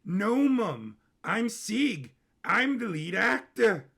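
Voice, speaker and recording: Timid young dog-mutant waiter, voiced by a man, hesitant, polite, creaky, fairly high voice, audio storybook. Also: sing-song, slow pace, bright, forceful tone, heavily acted. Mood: awkward, polite.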